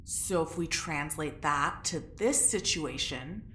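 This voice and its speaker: An actress playing an anxious, sharp-tongued young woman in a sci-fi audio drama, speaking bluntly and informally. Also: deep voice, lively intonation, slow, heavily acted. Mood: scheming, frustrated. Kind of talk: audio drama acting, naturalistic dialogue. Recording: roomy echo, faint background noise.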